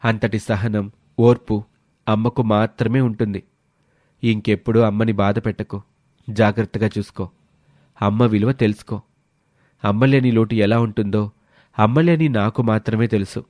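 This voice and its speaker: A man playing a kind, helpful village fruit seller in a moral story, speaking in an earnest, measured way. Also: lowish voice, steady tone, darkish tone, no room sound, lightly acted. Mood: earnest admonishing. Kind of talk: dramatised moral story acting.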